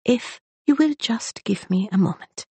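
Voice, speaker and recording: An eager little librarian man with a faint Spanish lilt and a soft lisp, as a woman narrator plays him. He chatters high and lively, and it's a husky, close, dry take. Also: unhurried, no echo, heavily acted. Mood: reluctant. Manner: eager, effusive, lilting with slight lisp. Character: small cheerful foreign-born London librarian.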